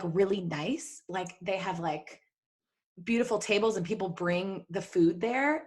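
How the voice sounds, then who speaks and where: A woman co-hosting a podcast in casual, chatty talk, with an informal way of speaking that leans on "like". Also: medium pitch. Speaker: podcast co-host. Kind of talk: casual chat on a live podcast.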